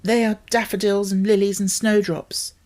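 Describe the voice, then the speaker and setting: Storybook young girl, woman narrator acting: earnest, high-voiced, breathless, words tumbling out. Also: flat delivery, moderate pace, a little mellow, natural voice. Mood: eager, urgent.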